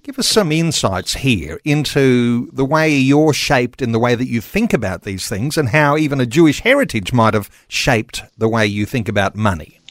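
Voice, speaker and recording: A man's voice: mid-range voice, expressive, moderate pace, few pauses, no room sound. A man hosting an interview, asking the guest inviting, open questions. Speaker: host.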